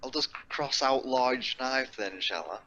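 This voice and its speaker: A man chats casually as a player in a tabletop roleplaying game. His voice is bright and edgy at an average pitch. There is faint noise and keyboard typing in the background. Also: animated, measured pace, muffled recording. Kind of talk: casual group game chat.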